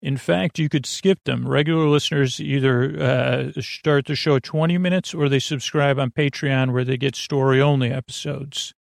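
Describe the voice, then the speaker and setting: A man hosting a sleep podcast in a rambling, conversational bedtime monologue, halting and drifting off on tangents in a gravelly voice. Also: medium pitch, fairly even intonation, no echo, clean studio sound.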